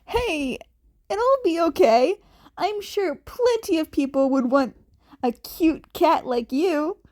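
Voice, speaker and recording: A woman's voice: very high-pitched, expressive, slow, a little mellow, voice pushed up, full character voice. A woman voicing an upbeat, kindly adult male hero in a fan fiction audiobook, doting and sympathetic in manner. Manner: gushing, sympathetic.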